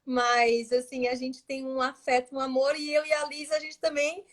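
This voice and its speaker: A woman with a São Paulo accent talks in a high, lively voice, warmly chatting and often breaking into laughter. She speaks into her device's built-in mic from home during a live stream. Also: normal speed. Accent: São Paulo Brazilian Portuguese. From Brazil. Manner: warm, animated, laughs often between phrases. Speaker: guest astrologer. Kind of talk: casual live-stream chat. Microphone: device's built-in mic, no headset.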